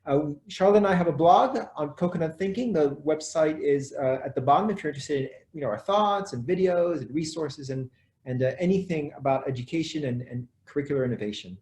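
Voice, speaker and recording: A man's voice: a little high, fairly quick, a little mellow, reverberant. A man in his forties with a French accent introducing himself on a webinar, thoughtful and repeating small words as he looks for the right phrase. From France. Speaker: educator and webinar co-presenter. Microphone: video call.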